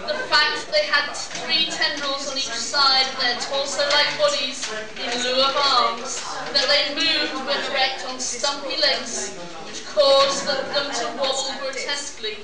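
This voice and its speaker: A woman's voice: sits high, fairly even intonation, measured pace, few pauses, crowd noise. A woman reading scripted pulp science fiction narration for a live radio play. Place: convention hall before an audience. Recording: echoey hall, far off, audience noise.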